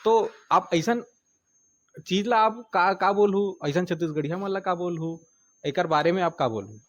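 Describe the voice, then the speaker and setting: A man's voice: sits high, normal speed, highs cut off. A man with a Chhattisgarhi accent, interviewing and asking questions hesitantly, with many fillers.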